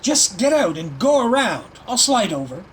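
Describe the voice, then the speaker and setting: Actor as a plucky, wisecracking fugitive hero. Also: male voice, high-pitched, big pitch swings, normal speed, a bit bright. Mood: urgent. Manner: cheeky, insistent. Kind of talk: audio drama acting. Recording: noisy home-device recording.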